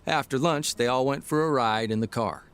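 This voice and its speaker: A man's voice: mid-range voice, moderate pace, a bit bright, smooth, natural voice. A man playing a folksy newspaper columnist and caring husband in a radio drama, with a wry, homespun, conversational way of talking. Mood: wry, amused. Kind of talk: radio drama, storytelling monologue.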